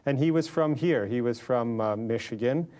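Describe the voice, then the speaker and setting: A man's voice: medium pitch, moderate pace, warm tone. An American man telling his personal faith story as a guest in an interview. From Los Angeles, California, USA. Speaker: interview guest, lay businessman.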